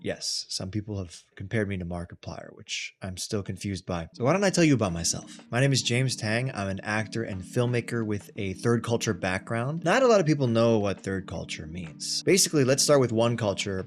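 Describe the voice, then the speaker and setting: A man's voice: on the low side, animated, normal speed, hardly any pauses. A man in his thirties from Thailand, talking casually and self-deprecatingly about his life in a personal vlog. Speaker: actor and content creator. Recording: faint background music.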